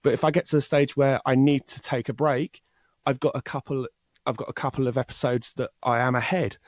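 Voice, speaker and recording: A man talks through his work as an interview guest in a loose, long-winded way, often repeating a word before pressing on. His voice sits at a middling pitch and comes across a little dull and muffled. The room adds no echo at all. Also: fairly even intonation, fairly quick. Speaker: podcast guest, true crime podcaster. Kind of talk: casual interview answer.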